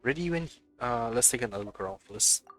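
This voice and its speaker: A man's voice: mid-range voice, lively intonation, fast, fairly bright tone, faint music behind, low-quality recording. A man streaming a game, thinking aloud in offhand, casual commentary.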